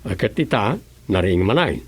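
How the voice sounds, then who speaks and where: A man's voice: fairly low pitch, animated, normal speed, slightly rough. A male actor with an Ilocano Filipino accent playing a chatty, nosy local councilor in a comic radio drama. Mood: chatty, bantering.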